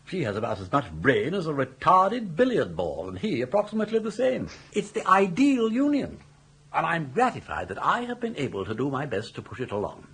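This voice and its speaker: An actor playing a jaunty, scheming older English gentleman in a radio comedy, garrulous and grandly worded. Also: on the high side, wildly swooping pitch, brisk, husky, exaggerated voice. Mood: breezy, self-satisfied. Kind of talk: radio comedy drama acting.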